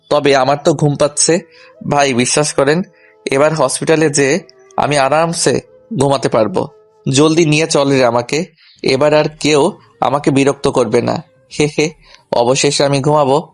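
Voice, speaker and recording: A man narrating a scripted first-person story in a colloquial, humorous manner. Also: a little high. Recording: music behind the voice.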